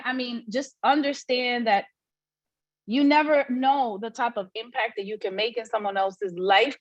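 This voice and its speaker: A woman's voice: mid-range voice, dry recording, low-quality recording. A woman hosting a training on a video call, introducing the next speaker with emphatic, preacher-like praise.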